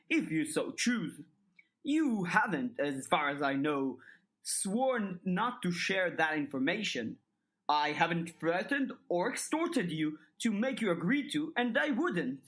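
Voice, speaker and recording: A woman voicing a smooth, calculating older male headmaster in an audiobook, speaking in measured, formal, articulate sentences with polite manipulation. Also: lowish voice. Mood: measured, diplomatic. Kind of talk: dramatized audiobook dialogue.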